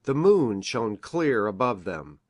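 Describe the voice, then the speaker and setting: A man playing an earnest doctor in a dramatic audiobook, reading formal archaic prose in a solemn, measured way. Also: lowish voice, very animated, darkish tone, no room sound, exaggerated voice. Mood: dramatic storytelling. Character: earnest male doctor reading an old family legend.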